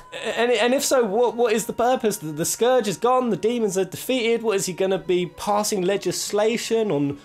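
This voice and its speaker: A man hosting a countdown video, giving enthusiastic opinion commentary in an informal, rambling and emphatic way. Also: a little high. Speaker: host of a gaming countdown video.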